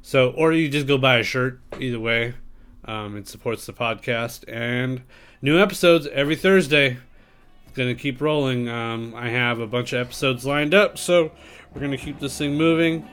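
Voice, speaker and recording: A podcast host with a stuffed-up, nasal voice rambles through plugs and chat, filling gaps with ums and uhs and a few swear words. His pitch sits in an ordinary middle range for a man. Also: normal speed, fairly bright tone, clear, resonant tone, soft background music. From San Diego area. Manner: loose, rambling, with many fillers and swearing. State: congested, nasal.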